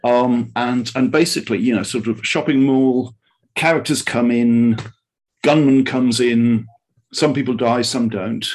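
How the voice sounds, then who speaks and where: A man's voice: medium pitch, steady tone, unhurried, a little mellow. A man speaking as a podcast co-host in casual chat, often doubling back on his words.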